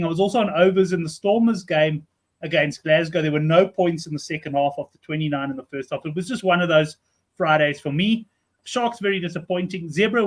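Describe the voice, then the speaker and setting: Fast-talking high-voiced man in his fifties. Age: 50s. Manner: chatty, friendly, fast stream of talk. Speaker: host of a rugby betting show. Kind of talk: casual chat on a betting show.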